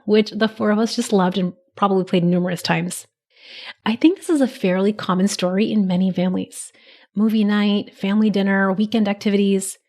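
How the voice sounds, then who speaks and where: A woman in her thirties talks straight into a studio microphone, hosting her own podcast. Her voice carries a slight rasp from a cold, and she speaks in a gentle, encouraging coaching manner. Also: medium pitch. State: raspy, recovering from a cold. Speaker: podcast host. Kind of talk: solo podcast monologue.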